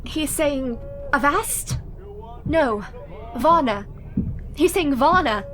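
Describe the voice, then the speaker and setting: A woman's voice: high voice, animated, slow pace, a bit bright, faint music behind, heavily acted. A woman playing a brave, composed young Victorian wife in a radio play, speaking formally and earnestly. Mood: entranced reporting.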